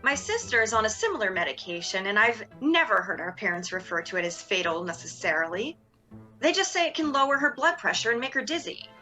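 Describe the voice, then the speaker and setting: A young woman playing a composed, calculating sorority leader in a dramatic reading, with formal, deliberate phrasing. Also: mid-range voice, sing-song, pushed and bright, faint music behind, low-quality recording. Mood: measured, skeptical.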